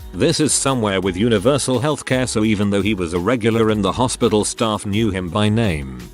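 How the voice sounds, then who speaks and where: A man with a lowish voice reads out internet stories in an even, steady narration. Soft music plays faintly under his words. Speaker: narrator reading Reddit posts. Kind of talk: scripted narration. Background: soft background music.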